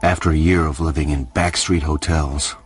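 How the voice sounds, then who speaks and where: A man's voice: rumbling low, fairly even intonation, moderate pace, soft background music. A man playing a weary, bleak noir antihero, narrating a radio drama in terse, brooding fragments.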